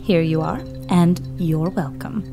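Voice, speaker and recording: A woman's voice: fairly low pitch, measured pace, vocal fry. A woman playing a playful, caring live-in assistant who teases her elderly employer. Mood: teasing. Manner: teasing, playful. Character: young American woman assistant, teasing and caring. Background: music.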